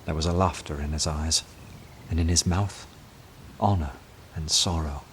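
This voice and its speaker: An actor playing an earnest, awestruck detective who narrates a radio drama in an intense, dramatic manner. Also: male voice, rumbling low, steady tone, moderate pace, a little hiss or hum. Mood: awed. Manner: intense, dramatic, hushed at times.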